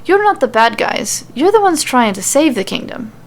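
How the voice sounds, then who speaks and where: Brash Irish warrior woman, as a female narrator plays her, nonchalant and dismissive, raised pitch, fantasy audio story. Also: sing-song, normal speed. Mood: nonchalant, blunt. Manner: nonchalant, blunt.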